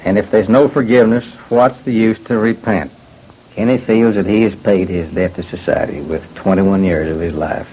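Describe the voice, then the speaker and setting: A man's voice: lowish voice, fairly even intonation, normal speed, darkish tone, dull audio, slight background noise. A man giving a plain prepared statement on an old radio recording. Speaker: interviewee making a statement.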